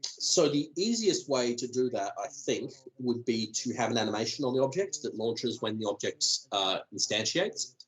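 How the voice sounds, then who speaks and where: A man answering technical questions in a casual chat, thinking aloud with many ums and restarts, his voice going hoarse. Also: mid-range voice, expressive, normal speed, poor audio. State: losing his voice, clearing throat. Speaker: developer answering community questions.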